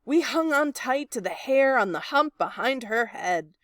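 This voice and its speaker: Female storybook reader, slow and lively in pitch, close dry recording. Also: no room sound. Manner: animated, deliberate storytelling. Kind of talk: expressive children's read-aloud.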